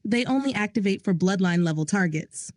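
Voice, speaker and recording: A grim male aide delivers a report in a clinical, matter-of-fact tone, as a woman narrator puts him on. His lines are brisk briefings, and the sound is dry and close. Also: medium pitch, animated, darkish tone, dry recording, close to an everyday voice. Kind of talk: audio drama reading.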